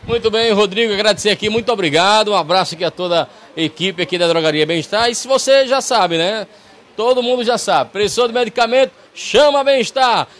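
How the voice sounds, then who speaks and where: Man in his 30s, Northeast Brazilian accent, high-pitched, upbeat live radio reporter on a handheld mic. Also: brisk, a bit bright. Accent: Northeast Brazilian Portuguese. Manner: upbeat, chatty, addresses listeners directly. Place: large bright pharmacy, indoors. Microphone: handheld station mic.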